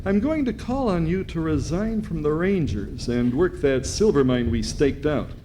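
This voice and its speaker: Older male actor as western ranger captain: authoritative, earnest, varied pitch, faint noise. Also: expressive, normal speed, dark tone, close to an everyday voice. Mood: solemn, earnest. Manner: commanding, earnest. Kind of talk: amateur old-time radio western acting. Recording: faint noise, live event stage.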